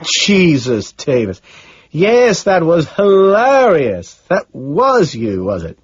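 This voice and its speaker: A man's voice: medium pitch, big pitch swings, normal speed, dull audio, slight background noise, pitched above the performer's own voice, close to an everyday voice. A man voicing a smug, dismissive, upper-class socialite with an affected, condescending drawl. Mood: amused.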